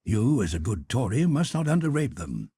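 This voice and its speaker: A man's voice: lowish voice, very animated, normal speed, warm tone, slightly rough, dry recording, studio quality, pitched above the performer's own voice, exaggerated voice. A man reading a novel aloud, voicing a cynical, worldly English lord who drawls out clever sayings. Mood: languid. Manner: languid, worldly, drawling aphorisms. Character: cynical epigram-loving English lord, middle-aged. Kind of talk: audiobook reading.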